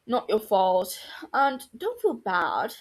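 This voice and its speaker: Sardonic young male doctor, read by a woman, dry delivery, sarcastic, mid-pitched. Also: huge pitch swings, takes it slowly, breathy edge, heavily acted.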